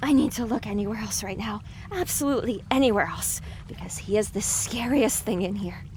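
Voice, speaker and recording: A woman's voice: medium pitch, fairly quick, a little mellow, a little hiss or hum, lightly acted. A woman playing an anxious, sarcastic young female pony muttering exasperated asides in an audio drama. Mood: frightened.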